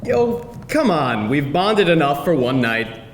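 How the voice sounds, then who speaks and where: Guy playing cocky pushy boyfriend, highish voice, really animated, echoey live room with faint rumble. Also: a little slow. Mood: frustrated, wheedling. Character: pushy, glib boyfriend around thirty, impatient and playful. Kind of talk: live screenplay table read. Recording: roomy live hall, faint rumbling noise.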